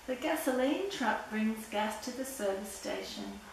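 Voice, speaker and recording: A woman's voice: fairly high pitch, lively intonation. Older woman reading aloud, very echoey room. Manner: simple, deliberate reading with playful sound words. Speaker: storyteller reading a picture book aloud. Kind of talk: read-aloud children's story. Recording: very echoey room, distant mic, background noise.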